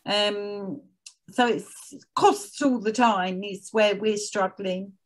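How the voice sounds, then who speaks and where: A woman speaking as an interview guest, chatting casually in a hesitant, halting way. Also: medium pitch, fairly even intonation. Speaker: guest, head of a veterans' arts organisation.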